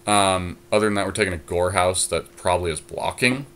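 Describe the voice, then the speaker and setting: A man's voice: low voice, a little fast, a bit bright, slight background noise. A man co-hosting a podcast, talking through card picks in casual chat, thinking aloud with many fillers. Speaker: podcast co-host.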